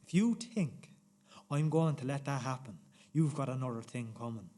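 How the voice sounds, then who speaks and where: A man's voice: medium pitch, normal speed, dark tone, natural voice. An actor playing a belligerent, righteous man in a radio play, insistent and confrontational. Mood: threatening. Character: belligerent, righteous, threatening man, protective of his home.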